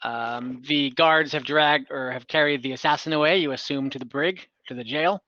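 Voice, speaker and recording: A man acting as game master, improvising narration in a halting, chuckly way over an online call. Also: a little high, sharp tone, dry recording, lo-fi sound.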